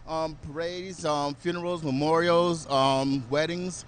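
A man's voice: on the high side, fairly even intonation, unhurried, clean tone. A man in his sixties, a veteran firefighter, explaining things hesitantly in a live interview on a handheld mic. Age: 60s. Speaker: veteran firefighter and honor guard drummer. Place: open fire station garage bay. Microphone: reporter's handheld microphone.

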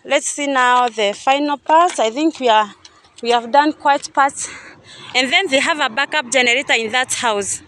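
A woman's voice: sits high, normal speed, a bit bright. A young woman chatting casually as she walks through a coffee farm, thinking aloud and often unsure. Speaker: vlogger touring a coffee farm. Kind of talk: casual guided walk-through. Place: outdoors at a coffee farm and factory. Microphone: phone camera held by speaker.

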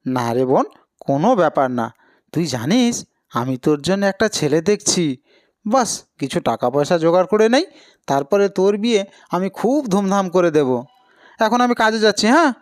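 A man's voice: high voice, expressive, fairly quick, clear, resonant tone, dry recording, studio quality, voice pushed up. A man voicing a devoted, poor older brother in a cartoon, with a caring, reassuring manner. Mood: affectionate.

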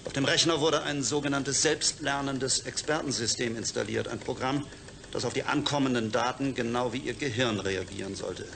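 An actor playing a matter-of-fact scientist who explains things in a German radio play. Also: male voice, mid-range voice, steady tone, normal speed, gravelly, fairly bright tone. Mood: explaining, measured.

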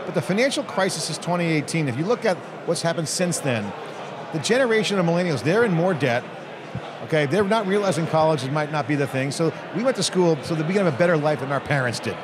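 A man's voice: fairly high pitch, noisy background. Emphatic middle-aged male interview host. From United States. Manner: energetic, emphatic, quick to interject. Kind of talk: conversational interview. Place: large hotel hall at a conference. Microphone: handheld mic. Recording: busy crowd chatter behind.

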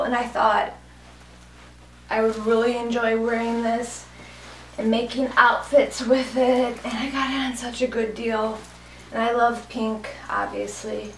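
A woman in her thirties, hoarse from a cold, chatting casually and gushing about clothes on camera in a small room, with lots of fillers. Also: on the high side, slight background noise. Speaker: fashion vlogger. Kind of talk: casual clothing haul chat. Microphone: camera mic from a few feet away. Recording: echoey room, mic at a distance.

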